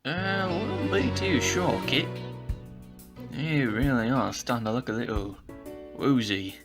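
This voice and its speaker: A man puts on a sly animal convict in a fan audio drama. He is cynical and mocking, drawing his words out at a slow pace. Music with guitar sits clearly behind him. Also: mid-range voice, lively intonation. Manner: drawling, mocking. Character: sly taunting male animal criminal, smug and cynical.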